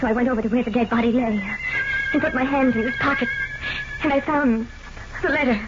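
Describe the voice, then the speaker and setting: An actress playing an anxious, secretive, jealous wife in a 1940s radio mystery, speaking haltingly. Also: female voice, a little high, steady tone, natural voice. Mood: brooding, regretful. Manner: breathy hesitations, halting repeated words. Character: anxious, secretive, jealous wife narrating her guilty past. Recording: noisy, dull old radio recording.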